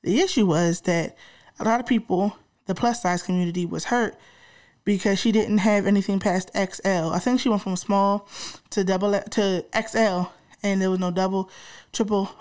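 A woman's voice: medium pitch, a little mellow. Congested young woman podcast host, slangy, talks right to listeners. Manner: chatty, slangy, addresses listeners directly. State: congested, slightly nasal from a cold. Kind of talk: casual podcast chat.

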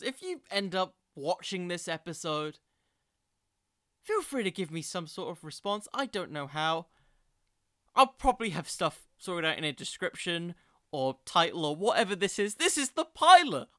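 A podcast host with a bright, forward voice of medium pitch delivers casual solo commentary, recorded close and dry. The delivery is informal and self-deprecating, like someone chatting directly to listeners. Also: no room sound.

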